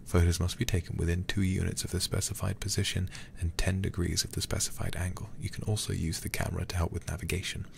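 A man streaming a horror game in a soft, intimate ASMR style close to the microphone, muttering and sometimes whispering. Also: sits low, little pitch movement, fast talker, gravelly, few pauses, slight background noise. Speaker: livestreamer playing a horror game.

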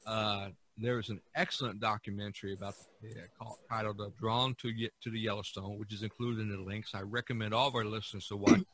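Bright-voiced man hosting a casual podcast. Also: on the low side, fairly even intonation, quick pace, few pauses, slight background noise. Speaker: podcast host. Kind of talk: casual art history discussion.

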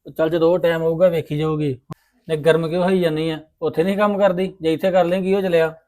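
An actress playing a calm older woman who hushes a younger one, speaking from off-mic. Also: low voice, quick pace, natural voice. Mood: hushing. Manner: hushing, dismissive.

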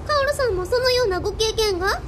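Precocious little genius girl, super high voice, talks fast n oddly formal, radio drama, noisy background. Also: lively intonation, a bit bright. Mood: curious. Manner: exaggeratedly polite, archaic formal speech.

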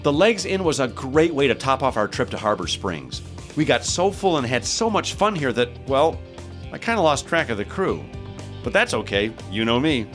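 Lively middle-aged American man hosting. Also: medium pitch, moderate pace, soft background music. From United States, Michigan. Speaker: travel show host. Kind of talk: upbeat scripted narration and interviewing.

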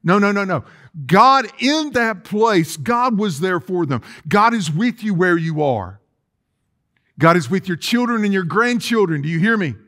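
A man's voice: high voice, animated, measured pace, bright, forceful tone. A middle-aged male pastor preaching in a church sanctuary, reading scripture and calling on the congregation to repeat after him. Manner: preaching with call-and-response, reading scripture aloud. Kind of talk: sermon. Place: church sanctuary with empty chairs.

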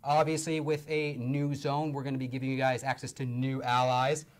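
Young male stream host, a bit hoarse, upbeat, lapel mic in a studio. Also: medium pitch, monotone, normal speed. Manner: upbeat, filler-heavy presenting. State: hoarse from long talking. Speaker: livestream host. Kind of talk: casual game-show chat. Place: indoor studio set.

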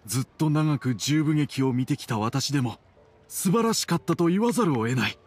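A man playing a gruff but fond middle-aged father in an anime game, speaking in a measured, formal, slightly awkward way. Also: fairly high pitch, animated, a little mellow, slight background noise, lightly acted. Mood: gruff, approving. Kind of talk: Japanese anime game dialogue acting.

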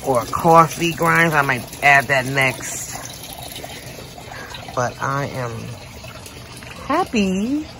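Female home vlogger, relaxed pace, chatty garden tour, handheld phone outside with clear background noise. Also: sits low, extremely slow, long pauses. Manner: chatty, talks straight to viewers with lively exclamations. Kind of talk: casual backyard tour. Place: outdoor backyard patio and garden. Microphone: handheld phone camera. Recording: outdoor ambient noise.

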